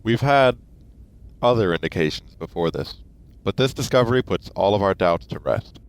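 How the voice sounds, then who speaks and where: A man's voice: fairly low pitch, lively intonation, moderate pace, low-quality recording. An actor playing a stern male starfleet admiral who gives clipped, commanding orders.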